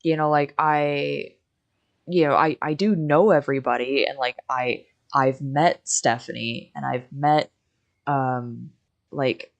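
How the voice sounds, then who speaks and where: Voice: mid-range voice, fairly even intonation, takes it slowly, gravelly, dull audio. Rambling young American in casual stream chat. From Michigan, United States. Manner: rambling, backtracking with many repeated phrases.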